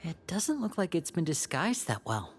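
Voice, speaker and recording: Game role: young gentleman magician, deliberate, articulate, composed thinker with a protective streak. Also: fairly high pitch, very animated, slight background noise. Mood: focused. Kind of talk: video game voice acting.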